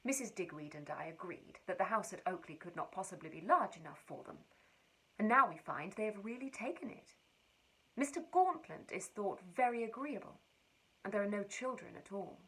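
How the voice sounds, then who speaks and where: A woman giving an expressive, wry and precise reading of a period letter. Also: medium pitch, breathy edge, poor audio. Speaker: reader.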